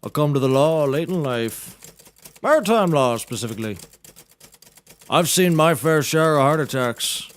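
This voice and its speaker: A man's voice: medium pitch, lightly acted. A man playing a bumbling, blustering seaman turned lawyer in a scripted comedy audio drama, rambling in a folksy, theatrical way. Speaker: defense lawyer in courtroom comedy.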